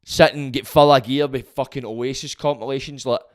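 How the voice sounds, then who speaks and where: A Glaswegian man hosting his own podcast, rambling and swearing freely in a loud, booming voice. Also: steady tone, normal speed. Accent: Scottish, Glaswegian. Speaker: podcast host. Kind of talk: casual monologue.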